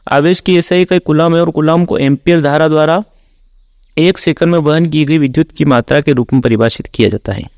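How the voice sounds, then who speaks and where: A man with a fairly high-pitched voice teaches for a competitive exam. He reads out questions and factual explanations in an orderly, instructional routine. The sound is very dry, with no room echo. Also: fairly quick, darkish tone. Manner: instructional, reading out facts in a set routine. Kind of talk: reading quiz questions with explanations.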